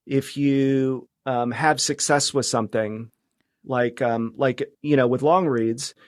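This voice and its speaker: Man, podcast guest, medium pitch, halting, tentative, casual chat, dry close sound. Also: steady tone, no echo. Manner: halting, tentative, thinking aloud. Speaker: podcast producer, interview guest.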